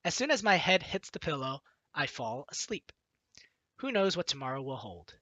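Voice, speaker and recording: A woman's voice: deep voice, big pitch swings, normal speed, pushed and bright, no room sound, muffled recording. A woman let's player reading a game's story aloud expressively and adding playful, casual commentary.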